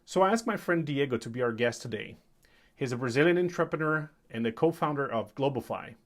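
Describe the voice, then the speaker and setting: A man's voice: medium pitch, expressive, fairly quick. A man hosting a podcast, introducing the show and putting questions to a guest. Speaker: podcast host. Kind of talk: scripted intro and interview questions.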